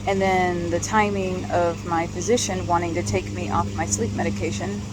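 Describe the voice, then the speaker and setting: Hoarse woman, lowish voice, halting casual vlog delivery, outdoor traffic noise in the recording. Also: steady tone. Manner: halting, with frequent ums and restarts.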